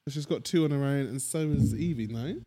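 A man's voice: mid-range voice, fairly quick, warm tone, no room sound. A man co-hosting a podcast in casual chat, dry and joking, often stopping to restart. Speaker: podcast co-host.

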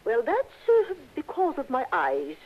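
Fluttery old society widow, husky voice. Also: female voice, high-pitched, wildly swooping pitch, measured pace, exaggerated voice. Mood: flattered, coy. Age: older. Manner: fluttery, hesitant, genteel. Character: genteel, flattered, trusting wealthy old widow. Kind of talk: 1960s radio drama acting. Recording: dull, lo-fi old radio recording.

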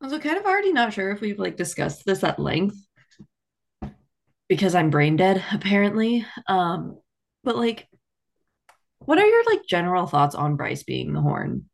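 Casting: woman, medium pitch, podcast co-host, informal and candid, chatty phrasing full of "like". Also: huge pitch swings, normal speed, gravelly, long pauses. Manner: conversational, heavy use of "like", candid. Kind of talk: casual book chat.

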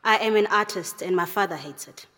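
Woman, deadpan declarative lines, art video played back in a big hall. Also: mid-range voice, a little fast. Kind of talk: short spoken statements in an art video.

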